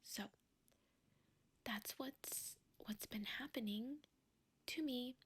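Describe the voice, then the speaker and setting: A young woman whispering softly in a slow, rambling way, chatting ASMR-style at home. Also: on the high side, breathy edge. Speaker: vlogger. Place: quiet home, indoors.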